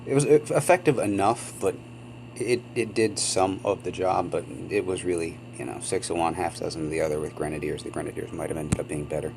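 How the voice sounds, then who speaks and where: A man's voice: low voice, a little fast, gravelly, background noise. A man hosting a gaming channel, sniffly from a cold, chatting casually and rambling with many ums and apologies. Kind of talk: casual gameplay commentary.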